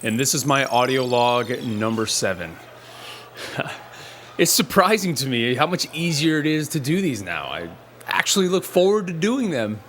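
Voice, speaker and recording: A man's voice: medium pitch, animated, moderate pace, a bit bright, close to an everyday voice. An actor playing a rueful, guilt-ridden man, rambling and self-deprecating as he confides in an audio log. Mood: wry, casual. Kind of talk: audio drama monologue, casual. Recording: faint background noise and ambience.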